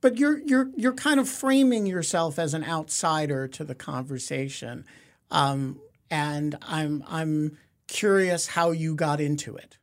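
A middle-aged man, hosting a casual interview in a halting, wry, informal way. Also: on the high side. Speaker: podcast host, interviewer.

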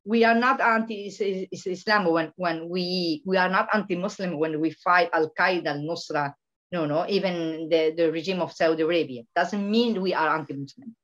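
Woman, from Venezuela, emphatic, halting, lo-fi dull recording. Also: fairly low pitch, fairly bright tone. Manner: halting, many restarts and repetitions, emphatic. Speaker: guest, political researcher. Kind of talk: impassioned interview talk. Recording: dull lo-fi sound.

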